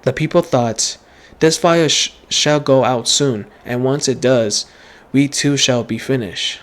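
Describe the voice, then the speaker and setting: A man streaming a video game, reading story text aloud dramatically and haltingly, stumbling and repeating words. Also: mid-range voice.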